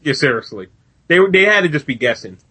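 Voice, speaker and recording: A man's voice: on the high side, sing-song, fairly quick, noisy background. An American man co-hosting a casual film chat, reacting with incredulous, slangy, profane banter. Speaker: movie review podcast co-host.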